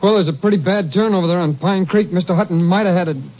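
An actor playing a nervous young logger's helper who stammers in a 1950s radio drama. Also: male voice, high-pitched, fast, darkish tone. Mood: scared. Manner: stammering, jittery. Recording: muffled old radio broadcast.

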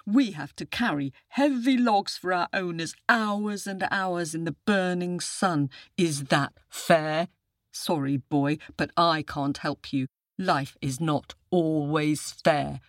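A woman's voice: low voice, slow pace, no echo, clean studio sound. A woman voicing a pompous, world-weary elephant in a children's story, politely dismissive. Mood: pompous, resigned. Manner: trumpeting marching chant, hesitant formal address.

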